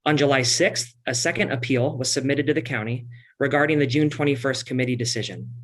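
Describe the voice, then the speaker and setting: A man from county staff giving a steady, formal briefing of an item's background. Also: mid-range voice, rough recording. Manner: steady, reading a summary.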